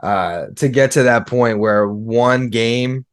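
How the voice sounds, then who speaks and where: A man's voice: mid-range voice, slow, smooth, dry recording, studio quality. A man in his twenties talking casually and at length about sports as a podcast guest.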